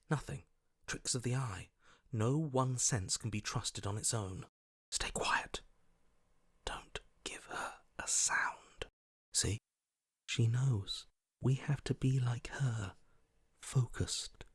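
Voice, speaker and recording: Terse, wary hunted survivor. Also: male voice, medium pitch, slightly rough, no room sound, studio quality. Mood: wary, focused, then hushed, focused. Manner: terse, clipped, watchful phrases. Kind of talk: video game character voice acting.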